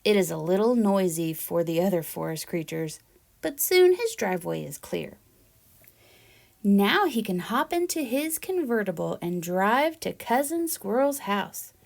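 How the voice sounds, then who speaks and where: A woman reads aloud for young children. Her pace is slow and deliberate, giving each phrase room. The delivery is expressive storytelling at a medium pitch. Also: vocal fry. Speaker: read-aloud storyteller, teacher-like presenter. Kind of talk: expressive children's picture book read-aloud.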